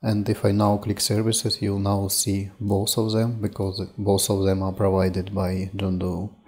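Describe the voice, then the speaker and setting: Slow, flat-voiced man walking through software. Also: fairly low pitch. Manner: unhurried, stepwise, with frequent "uh" and "um". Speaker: software demo presenter. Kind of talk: screen-recorded software tutorial.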